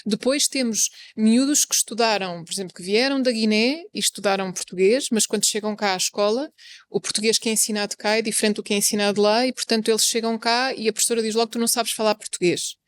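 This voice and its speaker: Rapid earnest woman guest. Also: fairly high pitch, fairly even intonation. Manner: fast, earnest, thinks aloud with many fillers. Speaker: journalist and association co-founder, guest. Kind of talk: casual panel conversation.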